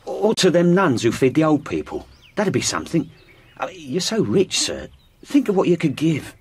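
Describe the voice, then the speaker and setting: An actor playing a humble, earnest jockey in a radio drama, deferential and hesitant. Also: male voice, on the high side, very animated, moderate pace, darkish tone. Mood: earnest pleading. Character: humble, earnest jockey seeking second chance.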